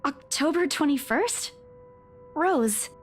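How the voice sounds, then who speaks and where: Woman doing a high, slow, groggy young woman. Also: animated, faint music behind. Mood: dazed. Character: groggy, confused young female agent Isabella in horror story. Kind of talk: visual novel voice acting by a player.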